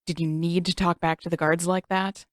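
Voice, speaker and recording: A woman's voice: on the low side, flat delivery, normal speed, no echo, studio quality, natural voice. A woman voicing a sarcastic, worried teenage brother who grumbles and complains. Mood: grumbling. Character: young teen boy, sarcastic worried brother.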